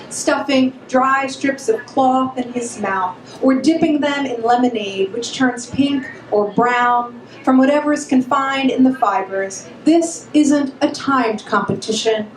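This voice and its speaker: A woman reads poetry aloud at a measured, slow pace. Her voice is high. The room is echoey, with faint noise behind her. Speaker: poet. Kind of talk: poetry reading. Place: pub room, live reading.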